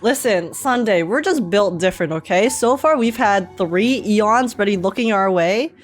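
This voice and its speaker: A woman streamer giving casual live game reactions in an excitable, giggly, emotional manner. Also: mid-range voice, moderate pace.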